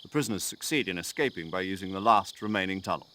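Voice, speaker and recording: An actor playing a talkative military commander giving a mission briefing over the radio in a game cutscene. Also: male voice, sits low, animated, quick pace, sharp tone, slight background noise. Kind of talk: video game cutscene acting.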